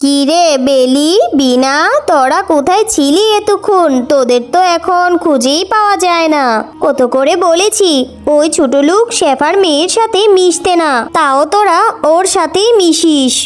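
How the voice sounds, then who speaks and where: Woman voicing a very high-pitched greedy cartoon vixen. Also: animated, moderate pace, soft background music. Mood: scornful. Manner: scornful, scheming. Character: greedy, jealous scheming vixen mother. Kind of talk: children's cartoon voice acting.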